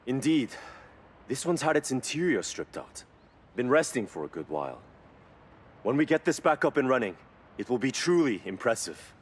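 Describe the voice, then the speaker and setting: An actor playing a knowledgeable, formal classic car expert in video game cutscene dialogue. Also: male voice, medium pitch, sing-song, slightly rough. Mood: impressed. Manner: measured, formal, reverent about cars.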